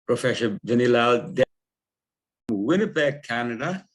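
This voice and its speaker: A man from Guyana giving formal, appreciative comments in short, halting phrases. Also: medium pitch, animated. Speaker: audience member giving comments.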